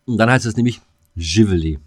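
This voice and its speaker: A man's voice: on the low side, fairly quick, dry recording, studio quality. A man, co-hosting a casual podcast in a blunt, colloquial way, sometimes talking while eating. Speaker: podcast co-host.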